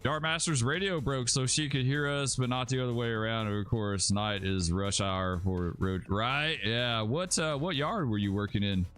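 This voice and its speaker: A man's voice: mid-range voice, moderate pace, few pauses, fairly bright tone, faint music behind. A man from Alabama, casually commentating his live stream, rambling and chatting with viewers. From Alabama, USA. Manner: laid-back, rambling, chats with viewers, says dude and bro. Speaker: livestreaming gamer host.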